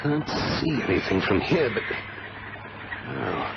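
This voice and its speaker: A man's voice: mid-range voice, lively intonation, takes it slowly, gravelly. A man playing a hard-headed, impulsive man of action in an old radio adventure drama. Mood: tense watchfulness. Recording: muffled, noisy vintage radio recording.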